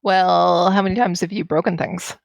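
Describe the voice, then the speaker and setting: A woman with a lowish voice and plenty of vocal fry co-hosts a podcast. She banters casually in a teasing, playful way, recorded dry. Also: fairly even intonation, normal speed, dry recording. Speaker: podcast co-host.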